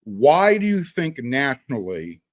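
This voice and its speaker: Man, mid-range voice, animated intonation, dry close sound, sports show host, conversational interviewing. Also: medium pitch, unhurried, no echo.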